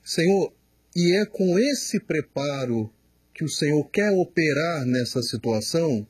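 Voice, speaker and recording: A man, a pastor, answering questions in a studio, slow and emphatic, often repeating words as he explains. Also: fairly high pitch, animated, breathy edge, no echo. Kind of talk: studio Q&A teaching.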